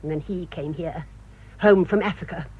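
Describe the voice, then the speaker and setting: An actress playing a proud, bitter older English spinster in a radio drama, with indignant, cutting rhetoric. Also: female voice, fairly low pitch, normal speed, slightly rough, narrow, tinny audio. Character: bitter, proud spinster nursing old family grudges.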